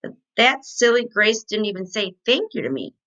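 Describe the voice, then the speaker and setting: A woman's voice: medium pitch, very animated, moderate pace, a bit bright, muffled recording. A woman voicing a chatty, indignant kindergarten girl from a storybook, with emphatic childish phrasing. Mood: indignant, excited.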